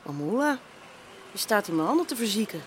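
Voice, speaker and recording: A woman's voice: medium pitch, very animated, a little fast, slight background noise, lightly acted. A woman playing a brash, teasing window prostitute in a radio drama, streetwise and direct. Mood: annoyed. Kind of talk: naturalistic Dutch radio drama acting.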